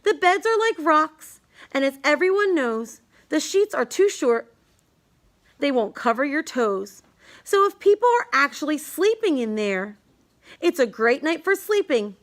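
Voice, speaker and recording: A woman's voice: sits high, big pitch swings, measured pace. A woman reading a rhyming children's book aloud in an emphatic, sing-song storytelling manner.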